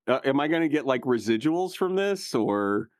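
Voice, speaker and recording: A man playing a tabletop game, chatting in character in a casual, halting, comically exasperated way. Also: medium pitch, lively intonation, no room sound, clean studio sound. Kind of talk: casual improvised role-play chat.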